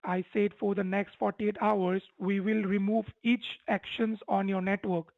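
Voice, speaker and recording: High-voiced impatient man on a dull phone line. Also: little pitch movement, measured pace, vocal fry, dry recording. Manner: impatient, insistent, repetitive. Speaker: tech support phone scammer. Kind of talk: argumentative phone call. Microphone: phone call. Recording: dull phone line, faint music behind.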